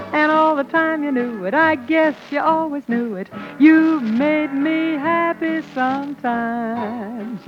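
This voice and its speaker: A woman on a 1940s radio variety show, chatting and singing slow, drawn-out ballads, on a muffled old broadcast. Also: sits high.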